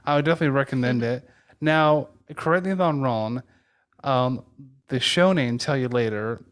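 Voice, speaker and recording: A man's voice: mid-range voice, moderate pace, smooth. A man hosting a podcast, talking casually as he interviews a guest.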